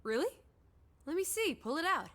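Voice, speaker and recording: An actress playing a spirited, inquisitive, imaginative young female companion in a video game, expressive, playful and probing. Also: very high-pitched, normal speed, exaggerated voice. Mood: curious. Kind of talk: scripted game dialogue.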